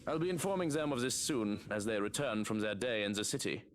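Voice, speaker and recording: Low-voiced formal manservant played by a man, noisy backdrop. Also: expressive, natural voice. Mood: grave, protective. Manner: formal, measured. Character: dutiful, formal male guard serving a wealthy master. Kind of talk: visual novel voice acting. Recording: noisy game audio.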